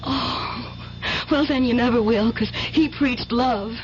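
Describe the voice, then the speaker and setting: An actress playing a young bride-to-be who works as a psychic stage assistant, with theatrical delivery in a vintage radio drama. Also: female voice, fairly high pitch, takes it slowly, slight background noise. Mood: pleading. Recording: dull, band-limited vintage radio recording.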